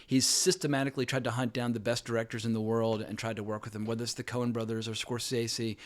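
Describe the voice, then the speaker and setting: A man's voice: mid-range voice, level tone, fast talker. A man with a mild North Carolina Southern accent, hosting a casual film podcast chat and swearing now and then. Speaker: podcast host.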